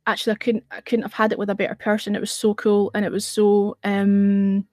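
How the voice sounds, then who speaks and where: A woman's voice: medium pitch, completely flat delivery, fairly quick, a little mellow, no echo, clean studio sound. A middle-aged woman hosting a casual livestream, rambling and chatting in reply to viewer comments. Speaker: radio show host on a livestream.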